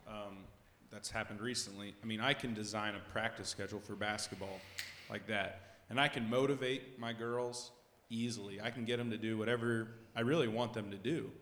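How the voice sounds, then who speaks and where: A man's voice: on the low side, steady tone, a little fast, fairly bright tone, a little hiss or hum. A man, a basketball coach, sharing a personal testimony in an earnest, reflective way. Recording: roomy hall with long reverb.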